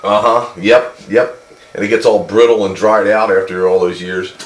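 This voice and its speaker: Deep voiced guy chatting on cb radio, echoey and noisy. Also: steady tone, normal speed. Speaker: hobbyist CB radio operator. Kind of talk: casual two-way radio chat. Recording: noisy, roomy CB radio recording.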